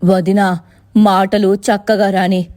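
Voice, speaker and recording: A woman's voice: medium pitch, flat delivery, takes it slowly, mellow, close to an everyday voice. A woman voicing a humble woman of a village tailoring family in a cartoon moral story, speaking earnestly. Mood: defensive. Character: humble women of a village tailoring family, mother-in-law and daughter-in-law. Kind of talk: animated moral story dialogue.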